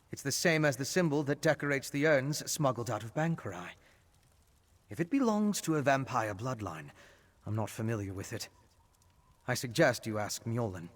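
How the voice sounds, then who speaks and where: A man's voice: medium pitch, slightly rough. A man playing a genial, curious scholar-adventurer in a fantasy video game, theatrical and courteous in delivery. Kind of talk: scripted video game dialogue.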